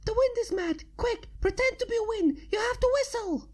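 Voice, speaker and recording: A woman voicing a hyper, scatterbrained young female cartoon pony with an excitable, exclaiming delivery. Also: very high voice, normal speed, pitched above the performer's own voice. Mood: frantic.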